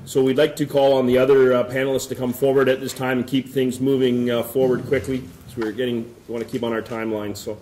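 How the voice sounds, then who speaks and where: Brisk fast-talking male moderator. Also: medium pitch, level tone, a little mellow, roomy sound, low-quality recording. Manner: brisk, joking, hurried. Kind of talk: event moderation.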